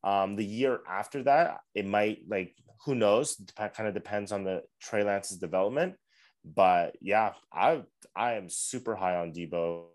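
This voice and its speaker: A man's voice: on the low side, unhurried. A man co-hosting a casual sports podcast, easygoing, hedging his takes and laughing often. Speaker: podcast co-host.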